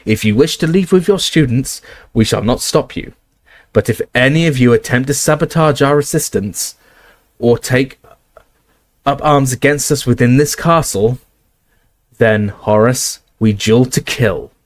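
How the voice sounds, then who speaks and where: A man's voice: medium pitch, unhurried, no room sound, close to an everyday voice. A man voicing a stern, commanding older female professor with a clipped, imperious manner.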